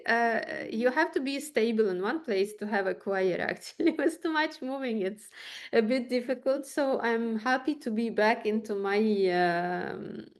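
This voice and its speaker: High-voiced woman with a Ukrainian accent. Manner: halting, searching for words, chuckles as she talks. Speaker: interview guest, musician and choir teacher. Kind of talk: casual interview answers.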